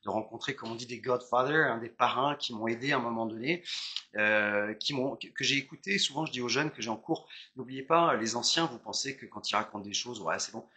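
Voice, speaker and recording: A man's voice: mid-range voice, brisk, pushed and bright, breathy edge. A middle-aged man talking as a guest in a casual interview, passionate and talkative, often repeating words and digressing. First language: French. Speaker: interview guest, musician, singer and teacher.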